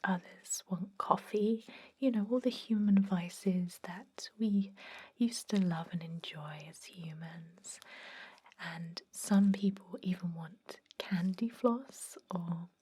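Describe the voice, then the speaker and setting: A woman playing a chatty but gently stern vampire dentist in a whispered ASMR roleplay, rambling in a confiding way with a breathy voice. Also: on the low side, slow, full character voice. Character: chatty long-lived female vampire dentist, garlic-obsessed, gently stern. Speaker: ASMR performer.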